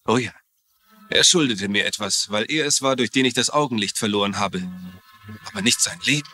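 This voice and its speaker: A man's voice: medium pitch, a little fast, pushed and bright, lightly acted. An actor playing a bitter, wounded man in a dubbed TV crime drama, speaking earnestly and with strong feeling. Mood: bitter, subdued. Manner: earnest, emotional, pleading. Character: bitter, wounded blind husband accused of murder. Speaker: voice actor in a German crime series dub.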